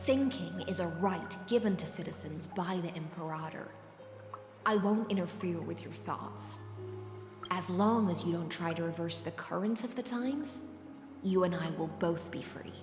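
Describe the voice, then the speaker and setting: A woman playing a composed, ominous noblewoman from the sea in a game cutscene, speaking in a measured, formal, cryptic way. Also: fairly low pitch, lightly acted. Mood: cold, solemn. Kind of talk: dramatic game cutscene acting. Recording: echoey reverberant hall sound. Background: music.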